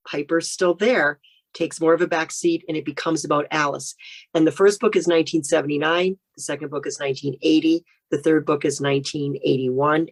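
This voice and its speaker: Low-voiced woman author explaining her books. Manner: steady, explaining step by step. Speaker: author guest. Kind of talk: casual interview chat.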